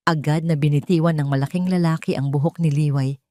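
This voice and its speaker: A woman's voice: low-pitched, steady tone, fairly quick, mellow, no echo, studio quality. A woman reading a scripted emotional story as a dramatic narrator.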